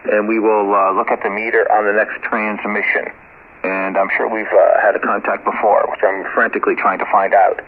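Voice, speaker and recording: A man chatting casually as a ham radio operator, halting and courteous, reading out call signs over shortwave. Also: lowish voice, level tone. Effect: shortwave radio transmission. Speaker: amateur radio operator running contacts. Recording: narrow tinny ham radio audio.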